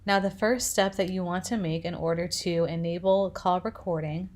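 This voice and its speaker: A woman presenting a software tutorial, narrating instructions step by step. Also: lowish voice, fairly even intonation, normal speed.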